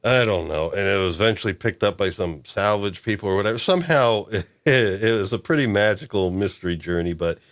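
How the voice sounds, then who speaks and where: A man's voice: fairly low pitch, lively intonation, brisk, hardly any pauses, fairly bright tone, no room sound, clean studio sound. A man hosting a casual podcast discussion, rambling with many restarts and chuckles. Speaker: podcast host.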